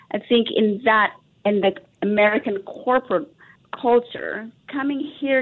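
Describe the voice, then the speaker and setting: A woman raised in Texas, answering a radio interviewer in an earnest, reflective way with many fillers and restarts. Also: medium pitch. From Indonesia, raised in Texas. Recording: tinny phone line.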